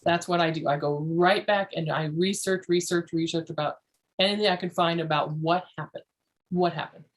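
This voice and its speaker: A woman's voice: fairly low pitch, steady tone, normal speed. A woman hosting a parenting podcast as a family therapist, leading a group discussion and repeating phrases for emphasis.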